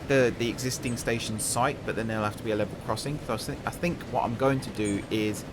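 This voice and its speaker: A man hosting a gaming channel. He chats casually over his gameplay and thinks aloud with self-deprecating humour, and his voice is croaky from a long day of talking. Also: medium pitch, quick pace, noisy background. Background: background music.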